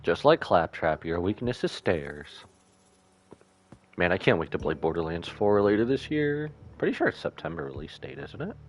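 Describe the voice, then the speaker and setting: A man's voice: low voice, sing-song, moderate pace. A man live-streaming a casual reaction to a game, commenting in a playful, slangy way.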